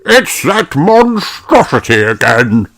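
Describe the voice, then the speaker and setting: A pompous, courteous gentleman stallion performed by a male reader in a children's storybook. The speech is formal and drawn out, lifted in pitch and swooping. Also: very animated, takes it slowly, soft background music, full character voice.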